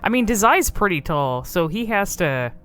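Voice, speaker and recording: A woman's voice: medium pitch, sing-song, moderate pace, a bit bright, clean tone, soft background music. A woman giving casual, off-the-cuff commentary on a video game in a rambling, informal, amused manner.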